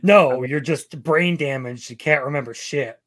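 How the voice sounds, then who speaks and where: A man's voice: mid-range voice, a little slow, a bit bright. A man hosting a casual group chat with teasing banter. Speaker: podcast host.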